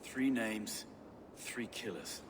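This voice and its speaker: An actor playing an earnest, pious reeve of ninth-century London in a video game, speaking in courteous, folksy phrases. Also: male voice, on the low side, animated, takes it slowly, noisy background. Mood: grave. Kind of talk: video game cutscene acting.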